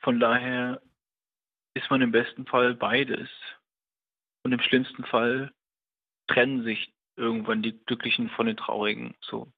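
A man's voice: mid-range voice, level tone, measured pace, creaky, pauses often, husky. A man being interviewed as a writer, speaking reflectively and hesitantly, searching for his words. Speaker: writer and radio play director interviewed. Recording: muffled phone-like remote line.

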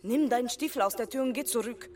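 A woman's voice: a little high, fast talker, distant mic, very noisy, lightly acted. A woman playing a cold, wary young half-sister in a radio drama, curt and rejecting. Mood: hostile.

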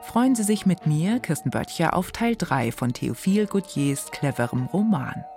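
A woman's voice: lowish voice, expressive, normal speed, warm tone. A woman hosting a literary radio programme with a scripted presenting style. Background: faint music.

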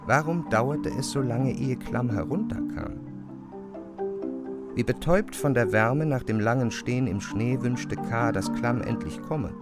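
A man with speech narrating a literary classic in a measured, expressive way. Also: mid-range voice. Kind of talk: literary audiobook narration, dramatized radio reading.

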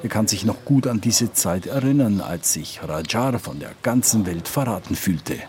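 A male reporter narrating a scripted news feature in a measured, matter-of-fact way. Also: on the low side, animated, a little mellow, a little hiss or hum.

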